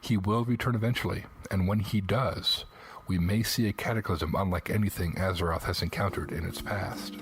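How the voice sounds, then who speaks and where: A man's voice: low-pitched, fairly even intonation, normal speed. A man narrating scripted fantasy lore as a segment host, in a dramatic storytelling manner with rhetorical questions.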